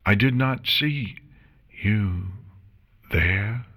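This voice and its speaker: A man's voice: lowish voice, sharp tone, pitched above the performer's own voice, heavily acted. A man raising his voice for an anxious pony nurse. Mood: flustered. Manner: formal, anxious, quick to apologize. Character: nervous, polite female pony nurse.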